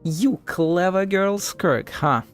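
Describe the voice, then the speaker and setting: A man's voice: sits high, slow, faint music behind. A man doing casual live game-stream commentary, playfully muttering asides and echoing dialogue.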